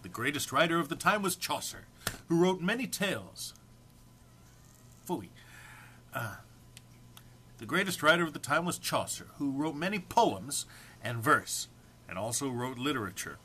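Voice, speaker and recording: A man's voice: a little high, very animated, unhurried, long pauses, bright, forceful tone, husky. A man giving a theatrical, amused dramatic reading of a humorous written text, with emphatic phrasing. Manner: amused, prone to breaking into laughter. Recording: faint background noise.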